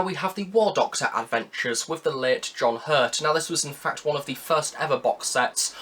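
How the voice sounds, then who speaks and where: A man with a medium-pitched voice presents a review. He talks informally and earnestly, in long wordy sentences. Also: a bit bright. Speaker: video reviewer.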